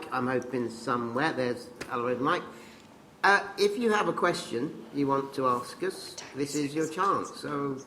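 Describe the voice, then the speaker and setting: A man hosting a comedy podcast, talking in a chatty and slightly halting way. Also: on the high side, pushed and bright, a little hiss or hum. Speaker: host.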